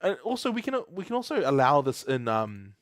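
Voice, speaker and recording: A man's voice: a little high, huge pitch swings, fairly quick. Chatty New guy coding live. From New Zealand. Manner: chatty, laughs often, reads chat aloud. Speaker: livestream host. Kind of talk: casual live coding stream.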